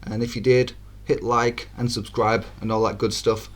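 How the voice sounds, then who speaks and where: A man with a hoarse, croaky voice, giving easygoing, chatty commentary over his own racing game footage as a channel host. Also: mid-range voice, level tone, moderate pace. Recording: game engine noise behind. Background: racing car engine sounds.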